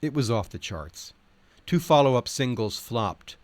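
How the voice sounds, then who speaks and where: A man's voice: medium pitch, animated, unhurried, no echo. A man reading nonfiction aloud as a narrator, in an articulate, wry, wordy style.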